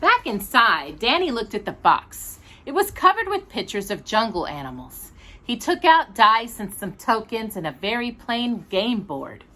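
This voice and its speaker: Bright, animated woman reading a storybook. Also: mid-range voice. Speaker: storytime reader. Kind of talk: expressive children's storybook narration.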